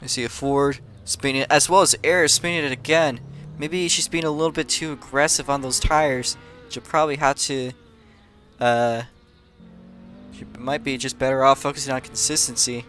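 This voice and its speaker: A man calmly commentating a sim race, calling driver positions and penalties with frequent fillers. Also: medium pitch, moderate pace, soft background music. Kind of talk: live sim racing commentary.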